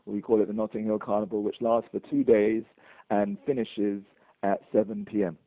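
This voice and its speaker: A man from London with a London accent, a guest in a casual interview, talking in a halting way with many restarts and chuckles. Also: fairly low pitch, little pitch movement, no room sound. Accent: London English. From England. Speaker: guest, bar owner. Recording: dry, tinny phone line.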